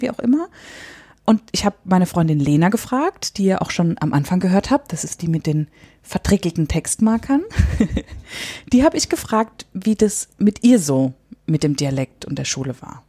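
A woman co-hosts a podcast in a thoughtful, chatty way. Her voice sits low and is husky and mellow. The sound is dry and close. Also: dry recording. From Palatinate, Germany. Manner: reflective, laughs often, self-correcting. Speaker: podcast co-host. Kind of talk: casual podcast chat.

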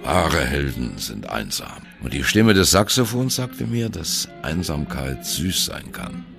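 An ageing jazz musician tells his story over music in an extremely low voice. His delivery is wry and theatrical, swinging widely in pitch. He phrases deliberately, as in a radio play monologue. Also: big pitch swings, creaky, heavily acted. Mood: wistful, earnest. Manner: wry, dramatic, deliberate phrasing with colloquial turns. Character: ageing male jazz musician, wry, reminiscing on postwar youth.

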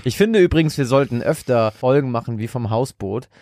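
A man's voice: mid-range voice, animated, a little fast, a little mellow. A man co-hosting a podcast in casual chat, talking loosely and colloquially as if thinking aloud. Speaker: podcast co-host.

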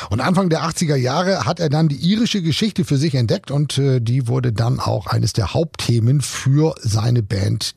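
A man with a very raspy, creaky voice co-hosts a music show. He explains things conversationally, partly from a script, in a dry recording. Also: mid-range voice, a little mellow, no echo. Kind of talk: semi-scripted music storytelling.

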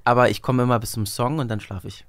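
A man's voice: medium pitch, steady tone, fast. Young male guest, mid-range voice, loose and informal anecdotal talk, lightly amused at himself. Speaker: interview guest. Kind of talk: casual anecdotal chat.

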